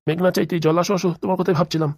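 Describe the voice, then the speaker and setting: A woman's voice: on the low side, steady tone, racing, vocal fry, darkish tone, dry recording, clean studio sound. A performer, heard as a woman, voicing a worried middle-aged father in a comedy cartoon, colloquial and fretful, often repeating things. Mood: troubled. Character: worried middle-aged father and future father-in-law, chatty, caring.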